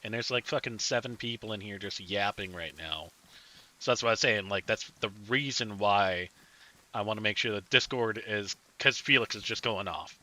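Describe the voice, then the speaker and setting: Chatty man with a sharp, bright voice. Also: fairly low pitch, moderate pace. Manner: rambling, chatty. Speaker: streamer. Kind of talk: casual gaming chat with teammates.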